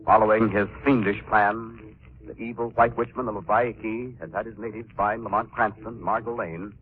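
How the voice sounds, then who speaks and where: A man's voice: lowish voice, steady tone, moderate pace, faint music behind. A man giving dramatic scripted narration for a 1940s radio drama. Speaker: story narrator. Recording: tinny old radio recording.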